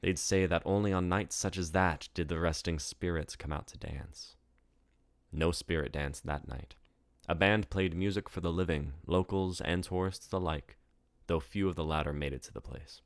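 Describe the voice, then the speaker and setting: A man's voice: sits low, moderate pace, no echo, studio quality. A man reading a story aloud as its author, in scripted narration.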